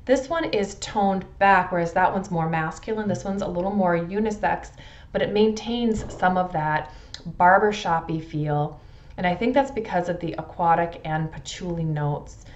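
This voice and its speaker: A middle-aged woman talks through a review in a fairly low voice. She is measured and thoughtful, leaning on her descriptive words as she speaks casually to camera. The indoor recording sounds a little muffled. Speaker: fragrance reviewer. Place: indoors in a furnished room at home.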